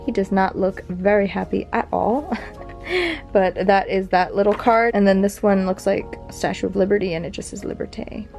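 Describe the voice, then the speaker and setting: A young woman talking through her collection at home, chatty and meandering, full of little fillers. Her voice sits mid-range with a gravelly fry. Faint music plays under her. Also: mid-range voice, steady tone, moderate pace. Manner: chatty, rambling, fillers and soft laughs. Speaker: vintage collection vlogger. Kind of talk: casual show-and-tell narration. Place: home near a big airport.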